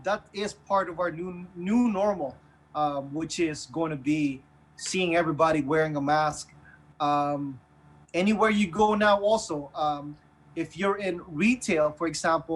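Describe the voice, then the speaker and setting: Male radio host, Filipino accent, voice on the higher side. Earnest, halting, conversational take on current affairs. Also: a bit bright, poor audio. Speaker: online radio show host. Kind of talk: casual news discussion.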